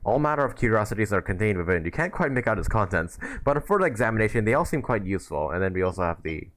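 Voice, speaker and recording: A man's voice: fairly low pitch, big pitch swings, very fast, a bit bright. A man doing informal live gameplay commentary as a streamer, with wry, sometimes sweary asides. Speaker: game livestreamer. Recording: faint game music behind voice. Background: faint game music.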